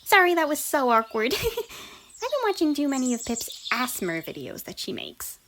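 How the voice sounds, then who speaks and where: A woman voicing an affectionate, bubbly young cartoon pony in an ASMR roleplay, with a squeaky, breathy voice and a theatrical, tender manner. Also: wildly swooping pitch, normal speed, a bit bright, heavily acted. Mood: bubbly, sheepish. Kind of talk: ASMR fan fiction roleplay. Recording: noisy, birdsong and ambience behind.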